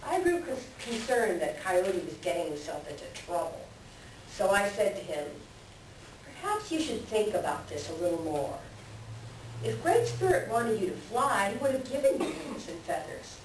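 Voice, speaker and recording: A woman voicing a wise, patient male eagle elder in a readers theater, measured in the way a storyteller reasons. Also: medium pitch, very animated, close to an everyday voice. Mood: storytelling, earnest. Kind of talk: readers theater stage reading. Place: library room, recorded from a distance. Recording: very echoey library room, distant, hissy.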